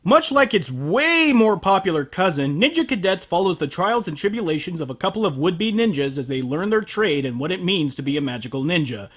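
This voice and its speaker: A man's voice: sits high, very animated, a little fast, hardly any pauses. A man delivering a scripted comedic review as a show host, with a sardonic, emphatic manner.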